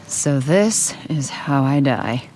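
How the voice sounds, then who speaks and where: Stern alien assassin, game voice, slow dry deadpan, noisy effects behind. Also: female voice, very deep voice, full character voice. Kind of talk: animated game voice acting.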